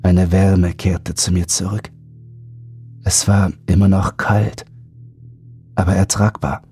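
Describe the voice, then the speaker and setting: A man's voice: sits low, flat delivery, slow, warm tone. A man reading a scripted first-person horror story as an audiobook narrator. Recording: background music under voice.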